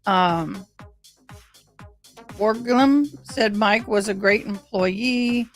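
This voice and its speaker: A woman hosts a livestream in a casual, chatty style. Her voice sits at an average pitch. She rambles a little and speaks haltingly, with electronic music plainly audible behind her. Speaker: livestream host.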